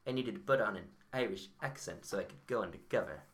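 A man's voice: fairly low pitch, lively intonation, fast, lightly acted. A man playing a hapless, over-polite detective in a comedy story, stammering and repeating his words as he goes. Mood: narrating, wry. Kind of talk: comedic first-person detective story and sketch.

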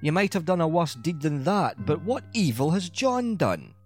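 Guy doing a teasing old laird, chuckly and easygoing, lively pitch, faint music. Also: moderate pace. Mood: amused.

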